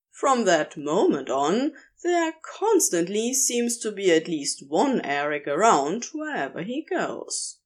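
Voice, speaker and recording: Female narrator, unhurried pace, hugely varied intonation, medium pitch, scripted fiction reading. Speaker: narrator, amateur audio fiction reader. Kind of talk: expressive scripted story narration.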